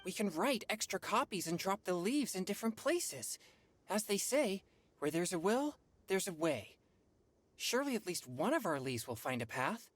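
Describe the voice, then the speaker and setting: A man's voice: sits high, normal speed, exaggerated voice. A man playing an earnest, polite teenage boy exorcist in an anime-style game. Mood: earnest, thoughtful. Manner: polite, expressive.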